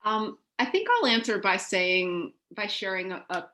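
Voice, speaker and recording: A woman's voice: mid-range voice, very animated, a little slow, pushed and bright. A middle-aged woman from the United States speaking reflectively as an author on a video call panel. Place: home room on a video call. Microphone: video call microphone.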